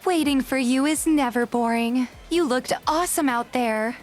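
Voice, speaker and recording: A woman's voice: high-pitched, moderate pace, soft background music, pitched above the performer's own voice, lightly acted. A woman voicing a playful young woman who poses as a doting girlfriend in an audio drama. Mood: sweet, teasing. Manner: affectionate, teasing, play-acted sweetness.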